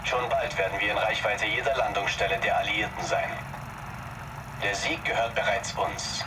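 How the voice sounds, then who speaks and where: An actor playing a fanatical German Nazi commander in a war game, making a grave, resolute villain speech. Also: male voice, lowish voice, steady tone, moderate pace, recorded from a distance, noisy background, lightly acted.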